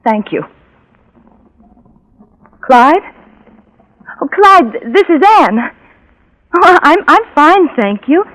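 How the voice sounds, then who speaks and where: A woman's voice: high voice, sing-song, drawn-out words, long pauses, fairly bright tone, exaggerated voice, rough recording. An actress playing a desperate, obsessive, lovesick young woman in a 1940s radio drama, with a faltering, breathless, melodramatic delivery. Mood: polite, eager. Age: young adult. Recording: vintage 1940s radio recording.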